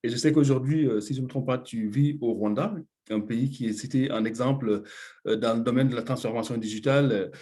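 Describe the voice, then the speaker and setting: A man's voice: medium pitch, a little fast, dark tone. A man moderating a panel discussion, hesitant and full of filler sounds.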